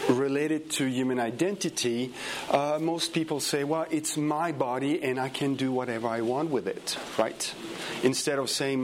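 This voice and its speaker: A man's voice: mid-range voice, noisy background. A man with a French accent, a native French speaker, giving a conference talk to a room in a church, hesitant with many fillers and restarts and chatty asides. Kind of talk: conference lecture.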